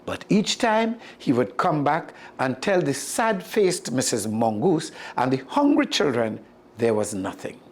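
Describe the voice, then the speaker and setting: A storyteller with a Caribbean accent reading a tale aloud in an expressive manner. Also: mid-range voice, measured pace.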